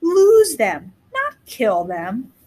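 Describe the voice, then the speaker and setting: A high female voice for a storybook wife, acted out by a woman reader. She is bitter and practical, pressing her point in a matter-of-fact, insistent way. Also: wildly swooping pitch, drawn-out words, darkish tone, exaggerated voice. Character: bitter, coldly practical young mother, sharp-tongued wife. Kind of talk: storybook character reading.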